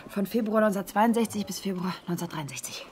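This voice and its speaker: A woman's voice: medium pitch, rapid-fire, darkish tone, lightly acted. An actress playing a young woman who secretly passes on documents, in a radio drama. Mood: confiding.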